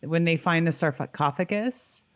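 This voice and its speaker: A woman's voice: deep voice, a little slow. A woman co-hosting a podcast, chatting casually in an informal, agreeable way. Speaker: podcast co-host. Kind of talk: casual rewatch podcast chat.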